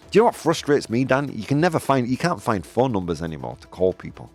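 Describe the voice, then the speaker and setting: A man hosts a casual opinion show and talks in a rambling, self-correcting way with plenty of fillers. His pitch swings widely as he gets worked up. Faint music plays underneath. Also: very animated, fast, a little mellow. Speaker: podcast host. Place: Spain.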